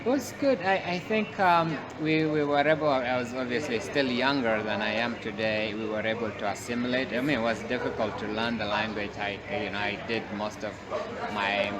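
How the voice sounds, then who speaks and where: A man in his forties from South Sudan, a researcher giving measured interview answers with many restarts. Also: fairly low pitch. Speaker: guest researcher. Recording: loud crowd noise behind the voice.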